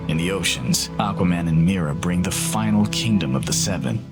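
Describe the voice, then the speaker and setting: A man with a very low voice narrates a dramatic story. His reading is scripted and theatrical, set against loud music. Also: expressive, fairly quick.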